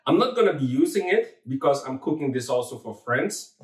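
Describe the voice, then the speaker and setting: A man's voice: medium pitch, expressive, fairly quick, reverberant, far from the mic. A man with a Dutch accent, Dutch his first language, hosting a home cooking demonstration in a kitchen, friendly and hesitant with many fillers and restarts. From Suriname. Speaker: cooking channel host.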